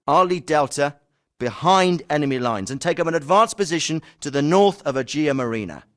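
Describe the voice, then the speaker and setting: Commanding navy captain, raised pitch. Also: male voice, expressive, brisk, a bit bright, dry recording, clean studio sound. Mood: firm, briefing. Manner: clipped, commanding delivery. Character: authoritative male Royal Navy special forces captain. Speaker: military officer, squad commander. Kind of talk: scripted military game dialogue.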